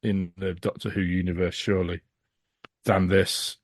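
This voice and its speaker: A man's voice: low voice, little pitch movement, moderate pace, dry recording. A British man as a podcast guest, chatting casually in a blunt, emphatic, sweary way. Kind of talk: casual chat.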